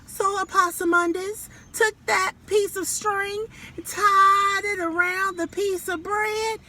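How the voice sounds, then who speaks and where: A woman's voice: fairly high pitch, pushed and bright. A woman reading a children's story aloud outdoors, theatrical and speaking directly to young listeners. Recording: clear background noise, outdoors.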